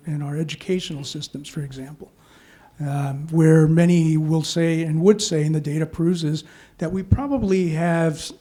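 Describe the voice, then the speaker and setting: Male panel moderator; thoughtful, measured questions, slightly high-pitched. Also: mellow. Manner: measured questioning, checks in with "okay?".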